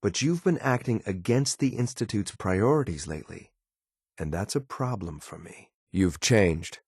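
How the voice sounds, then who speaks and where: An actor playing a loyal, disapproving android soldier in a video game, speaking in a formal, clipped, deadpan and blunt way. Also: male voice, fairly low pitch, unhurried, husky, no room sound, clean studio sound, heavily acted. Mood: stern, reproachful. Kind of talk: video game companion voice lines.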